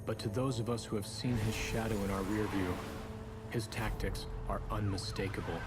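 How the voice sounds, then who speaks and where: Man voicing a brooding, slow-talking rebel street racer. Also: on the low side, natural voice. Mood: accusing, cold. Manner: measured, deliberate, solemn delivery in short phrases. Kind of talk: dramatic scripted cutscene monologue. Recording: loud music behind the voice. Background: loud music.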